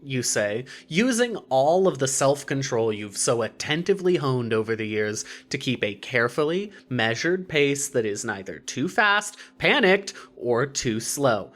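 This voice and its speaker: A man narrating scripted fiction in a measured, wry manner. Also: mid-range voice, sing-song, a bit bright.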